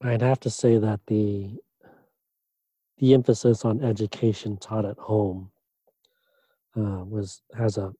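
A man, a doctoral student, answering interview questions in a relaxed way, pausing often with fillers and restarts. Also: lowish voice, dry recording. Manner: hesitant, many fillers and restarts.

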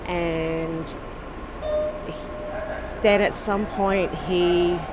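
An Australian woman from Sydney, speaking in a casual, thoughtful interview and pausing often with ums and restarts. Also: on the low side, steady tone, noisy background. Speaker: podcast host being interviewed.

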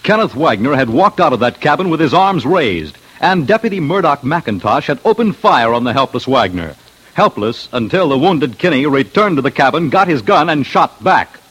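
A man's voice: on the high side, very animated, normal speed, fairly bright tone, a little hiss or hum, rough recording. A man narrating an old-time radio crime documentary with dramatic, storytelling delivery. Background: music.

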